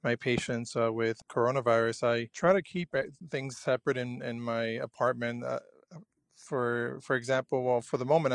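A young man from Cuba talks earnestly and haltingly, stopping and starting often. His voice stays level and even, with little rise and fall. He sounds close to the mic in a dry, dead room. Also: medium pitch, no echo. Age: young adult. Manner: hesitant and earnest, many fillers and restarts. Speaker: junior physician. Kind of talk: personal radio diary.

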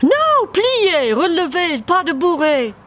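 A woman's voice: very high-pitched, very animated, takes it slowly, a bit bright, voice pushed up, exaggerated voice. A woman voicing a bossy, scheming female duck dance teacher with a brash, colloquial manner, in a storybook reading. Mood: demanding. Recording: live theatre recording with background noise.

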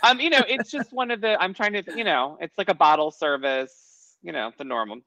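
Guy with a bright animated voice, blunt and sweary, kinda dull lo-fi mic. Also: high-pitched, a little fast, no room sound. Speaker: podcast co-host. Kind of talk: casual recap chat.

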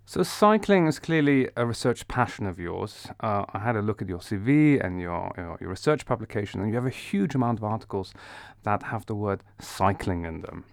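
A man from the Netherlands hosting a conversational academic interview, speaking in a measured, explanatory way. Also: lowish voice, animated, creaky, dry recording, clean studio sound. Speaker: interview host, academic economist.

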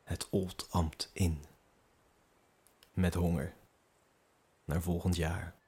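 A man's voice: low-pitched, level tone, drawn-out words, a little mellow, husky. A man narrating a scripted audio drama in the second person, in a dramatic, immersive manner. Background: music.

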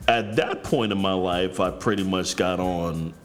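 A man's voice: measured pace, faint music behind. A man, a podcast guest who talks directly and bluntly and swears freely. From New York. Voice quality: deep voice. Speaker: guest, counselor. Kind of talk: candid podcast conversation.

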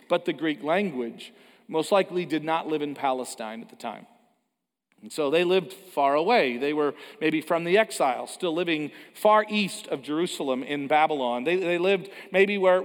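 A pastor from Canada giving a sermon in a conversational way, with joking asides and frequent restarts. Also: male voice, fairly high pitch. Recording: long hall reverb.

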